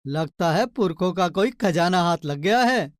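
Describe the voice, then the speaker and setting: An actor playing a polite, needy labourer in a cartoon story, flattering and pleading with his friend. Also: mid-range voice, a little slow, no echo, clean studio sound, close to an everyday voice. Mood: admiring. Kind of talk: children's cartoon story dialogue.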